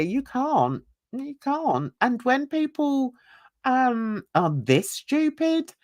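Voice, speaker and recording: A woman's voice: fairly low pitch, dry recording, clean studio sound. An older woman, ranting bluntly and indignantly while reading a livestream chat and talking to viewers. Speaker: YouTube commentator.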